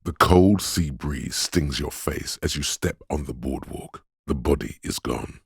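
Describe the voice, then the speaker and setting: An actor voicing a detective's inner sense of sight in a video game, calm and observant, narrating in measured, literary lines with a dry wit. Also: male voice, no room sound, full character voice. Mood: wistful, evocative. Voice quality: very deep, gravelly, husky. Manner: measured, deliberate, dryly wry.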